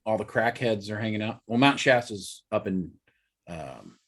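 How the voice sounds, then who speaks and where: A man's voice: lowish voice, expressive, normal speed, fairly bright tone. A man hosting a casual podcast, explaining things chattily and hedging with fillers. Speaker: podcast host. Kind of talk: casual chat.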